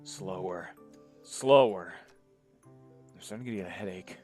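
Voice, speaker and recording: A young man playing a dazed, sardonic male medic, talking in a wry inner monologue that slips into hushed whispers. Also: low-pitched, slow, soft background music, pitched below the performer's own voice. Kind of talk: one-man voice acting.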